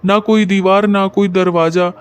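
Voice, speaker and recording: A man's voice: sits high, level tone, measured pace, clear, resonant tone. A man playing a humble, honest blacksmith in a cartoon moral story, speaking politely and earnestly. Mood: determined.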